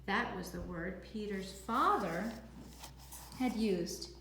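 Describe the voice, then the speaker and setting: A woman reading a children's novel aloud as a teacher would, in expressive storybook narration. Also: mid-range voice, slow pace, gravelly. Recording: echoey room, faint background noise.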